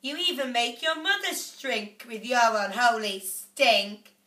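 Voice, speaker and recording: A woman voicing a bossy middle-aged mother who scolds in loud outbursts. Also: a little high, slow. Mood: shrill. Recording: echoey room, off-mic.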